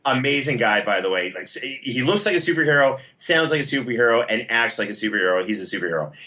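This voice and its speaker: A man's voice: mid-range voice, animated, fast talker, bright, forceful tone. An enthusiastic, effusive man talking casually as an interview guest, promoting his work as a producer. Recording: slightly dull, lo-fi line.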